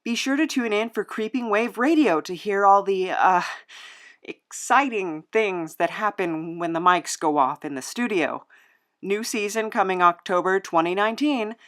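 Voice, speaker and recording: A woman's voice: medium pitch, expressive, measured pace, fairly bright tone. A woman hosting a casual comedic interview podcast, speaking in a hesitant, slightly awkward way.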